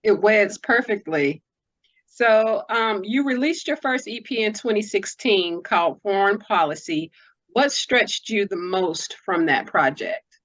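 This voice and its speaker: A woman's voice: medium pitch, animated, measured pace, poor audio. A woman hosting a conversational interview, putting questions to her guest and explaining what she means. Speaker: interviewer, host.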